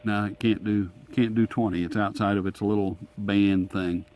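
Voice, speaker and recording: A man with a fairly low voice talks through a hands-on demonstration. He is folksy and informal, thinking aloud as he goes. Some faint noise sits behind him. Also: steady tone, normal speed, darkish tone. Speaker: radio reviewer and host. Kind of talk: casual hands-on gadget demonstration. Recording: clear static and radio noise behind.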